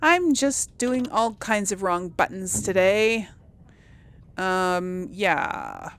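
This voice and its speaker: A woman's voice: medium pitch, huge pitch swings, extremely slow, a bit bright. A woman giving casual, rambling commentary as she plays a video game, thinking aloud to her viewers. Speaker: gamer hosting a role-play let's play.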